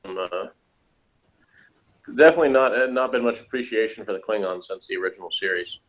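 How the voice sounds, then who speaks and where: A man with a fairly low voice talks casually as a podcast reviewer. He rambles through his opinions. The sound is dull and lo-fi. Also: fast talker, fairly bright tone. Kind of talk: casual chat reviewing a TV episode.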